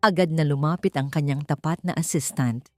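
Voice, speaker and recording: Low-voiced Filipino woman narrating over music. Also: a little fast, dry recording. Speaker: audiobook narrator. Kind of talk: dramatic scripted story narration. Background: background music.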